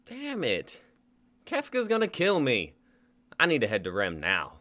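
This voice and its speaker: Guy voicing a cocky cussing outlaw, bright sharp voice, all over the place. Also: medium pitch, huge pitch swings, unhurried, heavily acted. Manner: brash, swearing, drawling. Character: brash outlaw Ringo, cocky and cursing.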